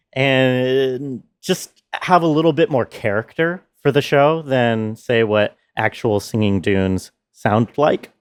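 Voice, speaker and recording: A man explaining his sound design work in a measured behind-the-scenes walkthrough. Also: mid-range voice, smooth, no room sound.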